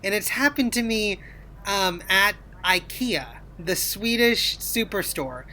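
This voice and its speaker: A woman with a bright, forward voice co-hosts a podcast. She talks in a chatty, joking way and works her way through long stories. Her pitch sits at a middle level for a woman. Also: animated, slow, slight background noise, low-quality recording. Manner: chatty, jokey, winds up long anecdotes. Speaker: podcast co-host. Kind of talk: casual chat.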